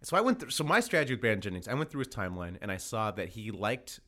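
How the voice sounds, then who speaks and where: A man's voice: on the low side, big pitch swings, fast talker, bright, forceful tone. A man hosting a podcast in loose, slangy banter, often repeating his own phrases. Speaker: podcast host. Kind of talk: casual banter.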